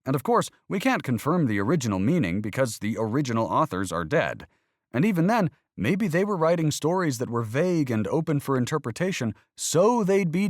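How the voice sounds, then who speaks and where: Man voicing a young boy, skeptical, argumentative, raised and loud, lively swings in pitch, dry close sound. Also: no room sound. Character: questioning earnest young boy. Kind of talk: audiobook character voice.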